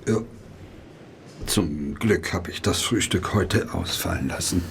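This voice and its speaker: An actor playing an irritable, blunt police detective with a raspy, creaky voice in a German radio play. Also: male voice, deep voice, takes it slowly, noisy background, full character voice. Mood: uneasy, intent. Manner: blunt, exasperated. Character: irritable, squeamish police detective, quick to blame.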